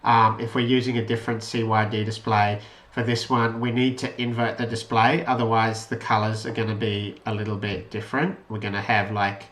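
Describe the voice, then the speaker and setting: A man's voice: on the low side, flat delivery, fairly quick, a bit bright. Man explaining code in an echoey room. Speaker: presenter of an electronics project tutorial. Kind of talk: informal code walkthrough, unscripted. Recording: roomy, echoey voice pickup.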